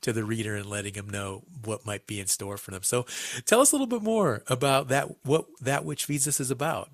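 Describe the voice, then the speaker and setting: A man hosting a conversational book interview, putting long questions in measured, slightly halting phrases. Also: mid-range voice, no room sound, studio quality. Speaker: interview host.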